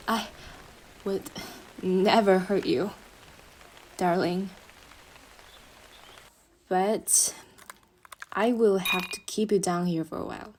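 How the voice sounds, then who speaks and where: A woman's voice: fairly high pitch, slight background noise, rough recording. A woman playing a young, obsessive yandere girlfriend in a roleplay monologue, syrupy and menacing, speaking intimately to her partner with a jealous intensity. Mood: coaxing, soothing menace. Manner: slow, sugary endearments swinging into intense jealous outbursts. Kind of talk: dramatic roleplay monologue addressed to the listener.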